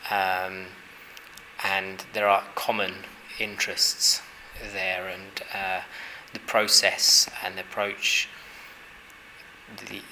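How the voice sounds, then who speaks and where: A man from Hungary, an artist, answering interview questions thoughtfully, with many pauses, fillers and restarts. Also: sits low, little pitch movement, pushed and bright. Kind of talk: exhibition interview. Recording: noisy ambience at an exhibition.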